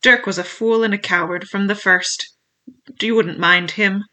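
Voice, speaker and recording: A sharp, forceful voice for a cruel blind pirate beggar in an adventure storybook, performed by a woman. He barks commands and curses his men with impatient rage. Every phrase is pushed hard and loud. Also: medium pitch, steady tone, measured pace. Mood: furious, scornful. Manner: shouting, cursing, commanding.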